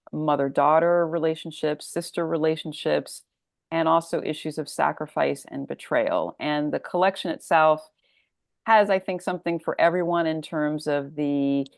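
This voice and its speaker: A woman author answering interview questions conversationally, thoughtful and expressive, leaning on key words. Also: low voice, no echo, studio quality. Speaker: author, podcast guest.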